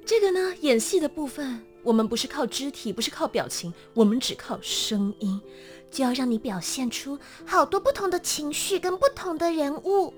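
A woman's voice: high-pitched, sing-song, moderate pace, soft background music. A woman with a Taiwanese Mandarin accent chatting as an interview guest in a playful, theatrical manner. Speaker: guest, voice actor. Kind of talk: lively interview chat.

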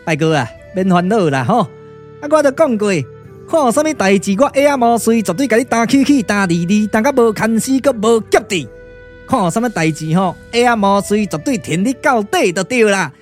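An actor with a Taiwanese accent playing a comic, boastful, loyal sidekick in puppet radio drama, earthy and full of exclamations. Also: male voice, sits high, expressive, a little fast, faint music behind. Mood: boastful, reassuring. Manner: colloquial, exclamatory, drawling.

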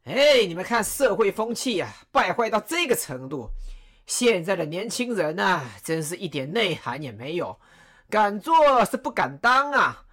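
A woman's voice: mid-range voice, sing-song, measured pace, a bit bright, breathy edge, exaggerated voice. A woman playing Cao Cao, a wry, menacing chancellor who lectures mockingly and makes sly threats. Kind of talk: radio drama acting.

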